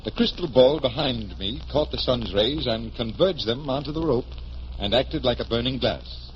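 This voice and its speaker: Muffled old-time radio, guy playing a genial magician sleuth, lively pitch, sure of himself. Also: normal speed, natural voice. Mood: assured. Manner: crisp, assured explaining, showmanlike. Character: confident, genial stage magician detective. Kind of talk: 1940s radio acting. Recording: muffled, noisy vintage radio recording.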